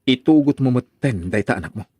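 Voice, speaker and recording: Angry farmer husband, radio drama, gruff, argumentative, voice jumps around, dry close sound. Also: male voice, medium pitch, expressive, fairly quick, a little mellow, no echo. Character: irritable, resentful husband, a poor farmer. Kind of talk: Filipino radio drama acting.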